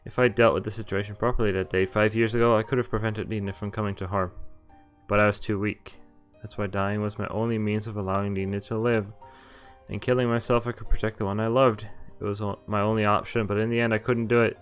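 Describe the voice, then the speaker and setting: A man's voice: fairly low pitch, flat delivery, quick pace, smooth. A man reading visual novel dialogue and narration aloud in a conversational way, sometimes stumbling and correcting himself. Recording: game music behind the voice. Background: visual novel music.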